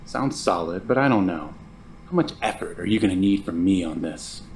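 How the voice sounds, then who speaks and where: Low-voiced, sardonic sleepless slacker musician played by a man. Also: normal speed, slight background noise. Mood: wry, reluctant. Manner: sardonic, deadpan. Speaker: lead character and narrator of an audio drama. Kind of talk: scripted audio drama, diary-like.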